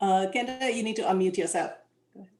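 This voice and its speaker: A woman moderating an online panel discussion, speaking in a measured, thoughtful way and reading audience questions aloud. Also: mid-range voice, a little mellow, slight background noise. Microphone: video call. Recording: echoey room on a video call.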